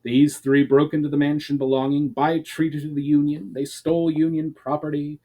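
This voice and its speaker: A man's voice: a little high, level tone, normal speed, warm tone, pitched above the performer's own voice. A man playing a haughty, indignant enemy commander with formal, demanding speech in a fantasy audiobook. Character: haughty, defiant male enemy military commander, villain.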